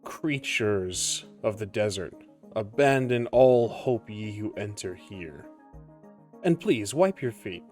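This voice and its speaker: A man performs a booming, unseen voice of a radio station in a tabletop game. It is low, theatrical and ominous, with a fussy streak, over faint music. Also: animated, a little mellow. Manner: grandiose, slow proclamation.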